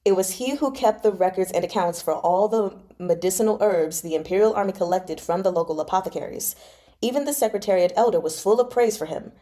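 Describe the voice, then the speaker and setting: A woman's voice: medium pitch, a little fast, dark tone, close to an everyday voice. A woman voicing a shrewd, composed courtier, steadily persuading, in a novel read-aloud. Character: shrewd, composed male courtier advising the emperor.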